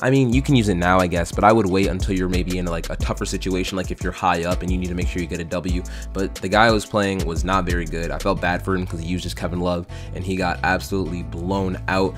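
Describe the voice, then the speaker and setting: A man's voice: low voice, fairly even intonation, fast, few pauses, soft background music. A young man giving casual voice-over commentary on his game footage, rambling with lots of fillers and restarts. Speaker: gaming YouTuber.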